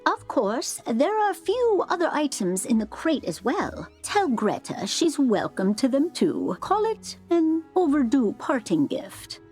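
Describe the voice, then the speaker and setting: An actress playing an obliging, knowing crafting shopkeeper in a video game, with a measured sing-song delivery. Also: female voice, high-pitched, big pitch swings, a little mellow, soft background music. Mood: helpful, knowing. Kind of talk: video game character dialogue.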